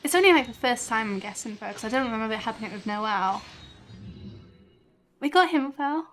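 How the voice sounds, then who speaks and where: A woman chatting casually on a game livestream, excitable and giggly, half talking to herself. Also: on the high side, fairly quick, faint music behind. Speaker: game streamer. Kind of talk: casual livestream chat while playing a video game.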